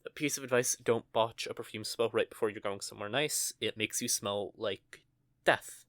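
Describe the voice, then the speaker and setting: A man's voice: lowish voice, normal speed, a bit bright, no echo. A young man voicing a nervous, stuttering young male witch in an audio roleplay, recorded close and dry. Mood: embarrassed. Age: young adult. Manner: stammering, flustered.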